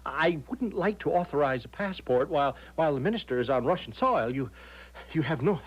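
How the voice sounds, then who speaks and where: An actor playing a fussy, flustered, by-the-book legation secretary who stammers and repeats himself in a radio drama. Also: male voice, on the high side, lively intonation, fairly quick. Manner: stammering, repetitive, dithering, officious. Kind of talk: 1970s radio drama acting. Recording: dull lo-fi old radio broadcast.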